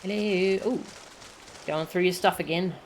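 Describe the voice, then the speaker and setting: A woman's voice: fairly low pitch, measured pace, slight background noise. A woman casually commenting to herself while playing a video game on stream. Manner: relaxed, musing to herself. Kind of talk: casual let's-play commentary.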